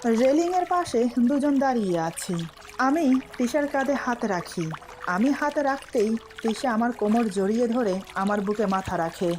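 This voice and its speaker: A woman narrates a scripted love story in a fairly high voice. She works as a storyteller, reading prose and dialogue. A faint trickling noise like water runs behind her. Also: lively intonation, moderate pace, a little mellow.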